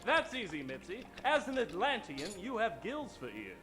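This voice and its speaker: High, animated pulp adventure hero. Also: male voice, normal speed. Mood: explaining, confident. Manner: melodramatic, earnest. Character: earnest, heroic male pulp adventurer, protective guardian. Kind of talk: live old-time radio comedy acting.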